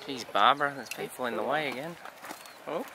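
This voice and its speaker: A man's voice: medium pitch, fairly quick, crowd noise. Joking man vlogging on a trip. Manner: joking, self-mocking banter. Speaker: travel vlogger. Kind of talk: casual travel vlog chat. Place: outdoors on an island and in a ship cabin. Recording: noisy outdoor camera audio.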